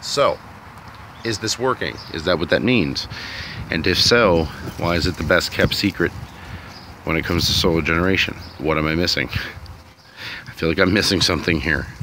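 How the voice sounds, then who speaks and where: A man's voice: sits low, moderate pace, long pauses, sharp tone, a little hiss or hum. A man vlogging outdoors in a sunny yard, thinking aloud in a rambling, unsure way while filming on a phone. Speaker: DIY vlogger. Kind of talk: casual thinking-aloud commentary.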